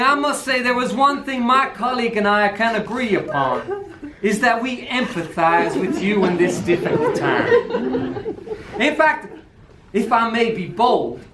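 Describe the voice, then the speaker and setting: A man's voice: very high voice, a bit bright, slight background noise, heavily acted. A man voicing a slippery male defense lawyer who speaks formally and craftily, in an amateur play reading. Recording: echoey room with crowd.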